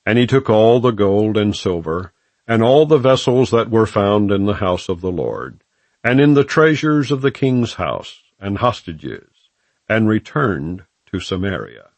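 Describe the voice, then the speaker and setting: Low-voiced man solemnly reading scripture. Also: very animated, a little mellow, dry recording. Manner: solemn, measured, formal phrasing. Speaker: audiobook narrator. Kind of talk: scripted scripture narration, non-dramatized audiobook reading.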